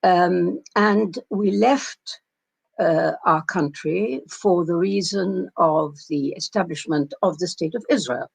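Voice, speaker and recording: An older woman from Palestine delivers a keynote in a slow, deliberate way, with frequent pauses and hesitations. Her voice sits a little low and has a gravelly, husky texture. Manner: measured, reflective, with many pauses and fillers. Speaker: keynote speaker. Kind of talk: conference keynote talk.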